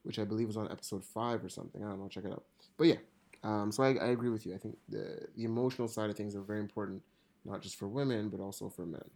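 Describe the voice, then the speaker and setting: Extremely deep-voiced, blunt podcast co-host. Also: female voice, fairly even intonation, racing, gravelly, dark tone. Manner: blunt and teasing, swears casually, repeats words when starting sentences. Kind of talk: casual podcast chat.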